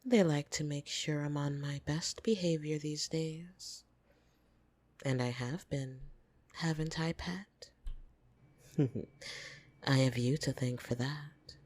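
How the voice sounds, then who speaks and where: Woman acting a low, breathy, teasing female vampire. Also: takes it slowly, pauses often. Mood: wry, fond. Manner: soft, breathy, teasing, often dropping into whispers. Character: lonely adult female vampire, teasing, possessive, fond. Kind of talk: intimate ASMR roleplay, scripted monologue to listener. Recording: music behind the voice.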